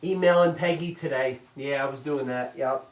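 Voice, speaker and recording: A middle-aged man teaching a live online baking class, talking loudly and chattily and checking in with "okay?" all the time. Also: mid-range voice, normal speed. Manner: loud, chatty, says "okay?" often. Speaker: cooking instructor. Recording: roomy kitchen sound, mic at a distance.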